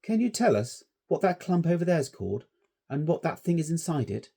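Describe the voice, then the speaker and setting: A man's voice: on the high side, very animated, normal speed, gravelly, dark tone, pitched above the performer's own voice, exaggerated voice. A man voicing a polite, curious well-bred schoolboy who asks questions courteously. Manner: politely inquisitive.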